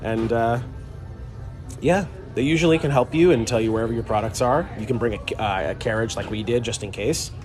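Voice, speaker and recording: A man co-hosting a casual live stream from inside a pharmacy, explaining the work in a relaxed, rambling way with lots of fillers. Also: mid-range voice, a little fast. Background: store music.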